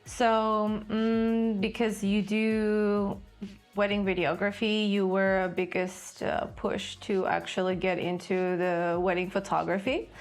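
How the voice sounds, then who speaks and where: A woman's voice: mid-range voice, level tone, takes it slowly, faint music behind. Young woman from Georgia, thoughtful speech. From Georgia (country). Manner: friendly, thoughtful, many "um"s. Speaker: podcast co-host, wedding photographer. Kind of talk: casual podcast chat.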